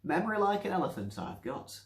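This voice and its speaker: A man's voice: high voice, sing-song, brisk, echoey room, voice pushed up. Man voicing a feisty old northern English woman. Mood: delighted, confident. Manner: blunt, colloquial, teasing. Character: feisty, chatty elderly northern English widow. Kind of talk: story reading with character voices.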